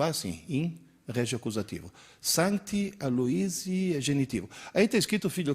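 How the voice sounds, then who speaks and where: A man's voice: on the high side, husky. A man in his sixties, born in Italy with Italian as his first language, gives an interactive lecture to teachers. He tells stories and quizzes the audience. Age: 60s. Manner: animated, storytelling, quizzes the audience.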